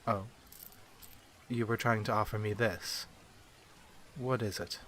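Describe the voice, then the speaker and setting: A man voicing a courteous, learned vampire in an ASMR fantasy roleplay, speaking formally and with measured, archaic phrasing. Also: fairly low pitch, fairly even intonation, a bit bright, slight background noise. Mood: formal, composed. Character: courteous, learned, human-friendly male vampire curse-breaker.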